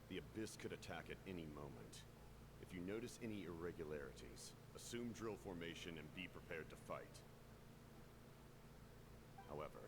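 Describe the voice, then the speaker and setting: Stoic military commander, very deep voice, terse, authoritative, video game, noisy background. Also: male voice. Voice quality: deep, gravelly. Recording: game audio with clear background noise.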